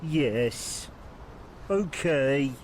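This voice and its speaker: Slow, childlike, literal-minded uncle. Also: male voice, mid-range voice, lively intonation, slight background noise. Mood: placid, literal. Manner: simple, literal, repetitive short phrases. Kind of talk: radio play dialogue.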